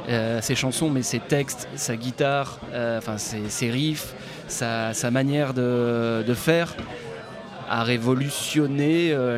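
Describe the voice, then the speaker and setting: Hesitant male musician talking in a noisy bar. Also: mid-range voice. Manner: hesitant, many fillers and restarts. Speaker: guest musician. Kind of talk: casual interview. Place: folk club bar. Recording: live bar recording with crowd chatter. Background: crowd chatter.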